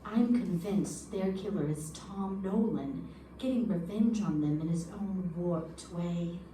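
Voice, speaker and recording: A woman's voice: on the low side, slow, dark tone. A woman playing an anxious, earnest man with information to give in an old-time radio drama. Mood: grave, convinced. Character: anxious, earnest male informant fearing for his life. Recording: echoey room, distant mic, background noise.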